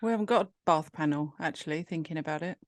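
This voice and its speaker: A woman's voice: quick pace, no echo. A woman chatting casually at home, playful and teasing. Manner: playful, teasing, talks to the cat in a high sing-song.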